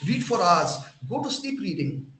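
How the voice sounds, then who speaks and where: A man's voice: high-pitched, big pitch swings, moderate pace, sharp tone, slight background noise. A man with an Indian English accent giving an emphatic, preachy motivational lecture to students over a video call, often putting rhetorical questions to his audience. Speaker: webinar guest speaker. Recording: roomy echo.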